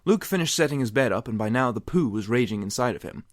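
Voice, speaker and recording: A man's voice: mid-range voice, animated, quick pace, no echo, clean studio sound. A man narrating comedic fan fiction in a mock-serious, theatrical way with wry asides. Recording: music behind the voice. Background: music.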